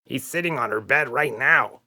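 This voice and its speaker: A man performs a skinny, lazy monster from a children's story. The voice is extremely edgy and tense, with heavy fry. He grumbles and brushes everything off like a born complainer. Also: medium pitch, normal speed, sharp tone, pitched above the performer's own voice. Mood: hushed irritation. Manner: complaining, dismissive.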